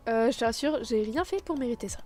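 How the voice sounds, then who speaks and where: A woman's voice: on the high side, lively intonation, fast, over loud music. A young woman chatting casually while playing a mini golf game, laughing often and a little stuffed up with a cold. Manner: laughs often, playful and dramatic outbursts. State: has a cold. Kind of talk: casual gaming chat.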